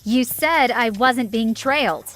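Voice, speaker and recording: Woman playing a flippant, high-voiced, unhurried schemer. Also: fairly bright tone, soft background music. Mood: mocking. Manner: flippant, sardonic, breezy. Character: sly, flippant woman shop owner and secret agent. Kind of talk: fan audio drama acting, naturalistic.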